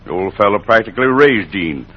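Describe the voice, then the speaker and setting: A man's voice: on the low side, expressive, brisk. A man playing a stern, intense westerner in American old-time radio drama. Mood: grim. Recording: dull, noisy old radio broadcast.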